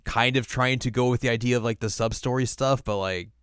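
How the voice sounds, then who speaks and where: A man's voice: medium pitch, fairly even intonation, fairly quick, a bit bright, smooth, no echo. A man co-hosting a podcast, chatting casually and informally about films with some dry humor. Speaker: podcast co-host. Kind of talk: casual film review chat.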